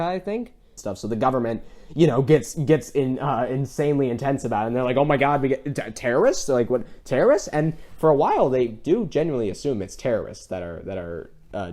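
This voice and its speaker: A man hosting a casual review discussion, talking in a rambling, halting way that circles back mid-sentence. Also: mid-range voice, sing-song, darkish tone. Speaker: podcast host.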